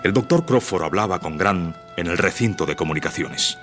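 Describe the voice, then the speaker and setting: A man's voice: sits low, lively intonation, moderate pace, a bit bright, faint music behind. A man narrating a suspense radio drama in a solemn, theatrical, scripted style.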